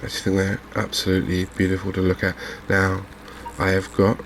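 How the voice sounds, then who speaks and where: A soft-spoken man giving unhurried ASMR commentary on a video game. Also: deep voice, completely flat delivery, vocal fry, a little hiss or hum. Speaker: gaming video host.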